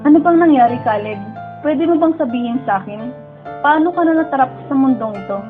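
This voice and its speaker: A woman's voice: high voice, animated, brisk. A woman playing a sensitive, lonely young female student who narrates her own story in an emotional radio drama, earnest and dramatic. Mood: concerned. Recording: muffled phone-like sound with music underneath.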